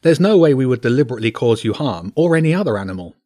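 A man's voice: medium pitch, very animated, rapid-fire, a little mellow. A man voicing a nervous, meek schoolboy with a shaky, earnest manner in an audiobook. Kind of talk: audiobook character voice.

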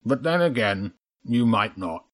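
A man's voice: on the low side, big pitch swings, a little slow. Male narrator as cold crow leader, stern, curt, authoritative, children's storybook character. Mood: curt, dismissive. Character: stern, cold chairman crow ruling a council.

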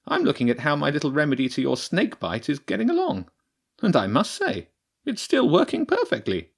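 A man's voice: a little high, huge pitch swings, a little fast, vocal fry, slightly rough, voice pushed up, exaggerated voice. A man voicing an impatient, sardonic female badger scientist in a storybook reading, dry and mocking. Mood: curious, sly. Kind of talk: audiobook character voice.

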